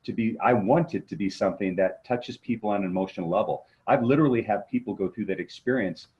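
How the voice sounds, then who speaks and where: A man's voice: on the low side, quick pace, muffled recording. A man speaking as an interview guest and motivational coach, explaining things conversationally and posing rhetorical questions. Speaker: guest, motivational coach and martial arts trainer.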